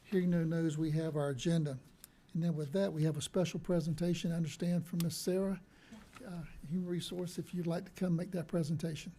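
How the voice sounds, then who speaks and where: Male chair, soft and mellow, steady procedural delivery. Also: fairly high pitch, a little fast. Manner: soft-spoken, formal procedural phrasing. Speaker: board chairman. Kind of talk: chairing a public meeting. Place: county meeting hall. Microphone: desk microphone.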